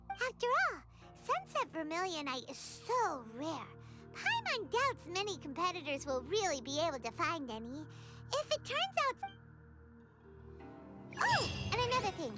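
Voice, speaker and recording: A childlike video game fairy companion with a sky-high, squeaky voice. Her delivery is bubbly and bounces dramatically up and down, and loud music plays behind her. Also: heavily acted. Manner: bubbly, refers to herself in third person. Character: tiny excitable childlike floating fairy companion girl. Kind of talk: video game character acting. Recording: game dialogue over background music.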